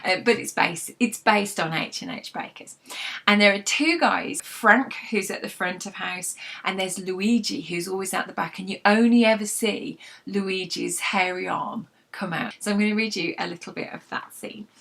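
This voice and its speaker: A woman's voice: mid-range voice, normal speed, gravelly, fairly bright tone. A British woman, a novelist, chatting casually to her followers in an anecdotal, self-deprecating way. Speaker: novelist hosting a read-along vlog. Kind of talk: casual chat to followers with book reading.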